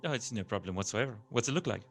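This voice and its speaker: A man's voice: fairly low pitch, expressive, racing, faint music behind. A man voicing an older male horn player with a dry, wry manner.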